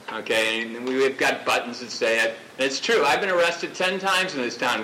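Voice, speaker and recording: A man giving an impassioned speech at a public gathering, loud and declaiming, talking without a microphone. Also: high-pitched, slight background noise, low-quality recording. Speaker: activist speaker at a rally. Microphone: off-mic, picked up from a distance.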